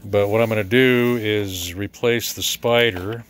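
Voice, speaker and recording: A middle-aged American man giving a casual, halting, matter-of-fact walkthrough as a repair technician, filming in his workshop. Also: fairly low pitch, steady tone. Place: cluttered indoor repair workshop. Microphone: handheld camera or phone mic. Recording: faint background noise.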